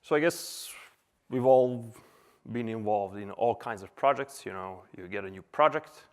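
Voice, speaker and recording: A man's voice: lowish voice, measured pace. A man in his thirties from Serbia giving a casual, chatty conference talk with many filler words, on a stage mic. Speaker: conference speaker. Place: conference hall.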